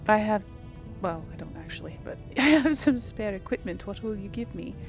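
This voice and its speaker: A woman's voice: medium pitch, expressive, moderate pace. A woman casually commenting on a role-playing video game, thinking aloud and laughing often. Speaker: let's play host. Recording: voice over game audio with faint music.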